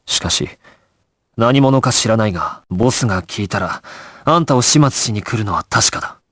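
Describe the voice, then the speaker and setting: A man's voice: lowish voice, lively intonation, normal speed, a bit bright, slightly rough. A male actor playing a resolute, composed young gangster squad leader in an anime video game, terse and authoritative in his clipped orders. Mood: cold, confrontational. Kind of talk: Japanese anime game voice acting, dramatic.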